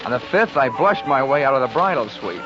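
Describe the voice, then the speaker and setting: Wry, animated hard-boiled radio detective played by a man. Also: medium pitch, brisk, lightly acted. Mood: wry narrating. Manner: wisecracking, clipped, hard-boiled. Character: wry, hard-boiled male insurance investigator. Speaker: lead actor and narrator of a radio detective drama. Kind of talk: 1940s radio acting. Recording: muffled 1940s radio recording with music.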